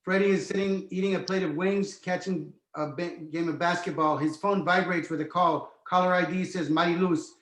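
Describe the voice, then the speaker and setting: Higher-voiced man narrating, echoey room. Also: fairly even intonation, normal speed, few pauses, a bit bright. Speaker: stage direction narrator. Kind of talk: scripted narration of a screenplay. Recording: roomy, echoey sound.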